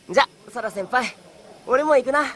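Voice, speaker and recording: A woman's voice: a little high, lively intonation, slow pace, a bit bright, slight background noise. A woman voicing a cheeky, playful younger schoolboy in a Japanese audio drama, casual and teasing. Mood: cheerful, playful.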